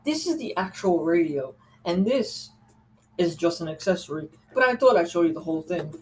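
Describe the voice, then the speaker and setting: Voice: mid-range voice, sing-song, moderate pace, slight background noise. Someone casually showing off products as host, talking in a conversational, rambling way with asides. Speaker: host reviewing a radio collection. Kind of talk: casual product show-and-tell.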